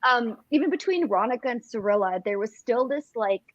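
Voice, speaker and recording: A woman chatting casually and earnestly in a book discussion, halting as she searches for words, on dull livestream audio. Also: a little high, lively intonation, dry recording.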